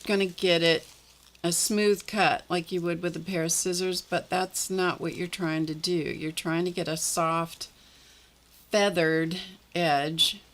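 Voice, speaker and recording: Slow, low-voiced woman demonstrating crafts. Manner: relaxed, chatty, talks while working with her hands. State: slightly croaky voice. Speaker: art journaling host.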